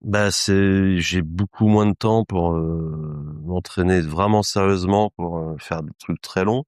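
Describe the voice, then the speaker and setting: A man's voice: sits low, unhurried, a little mellow, no echo, studio quality. A man from Lyon, an illustrator, answering interview questions in a hesitant, rambling way with casual slang. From Lyon, France. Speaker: illustrator, guest. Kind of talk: podcast interview.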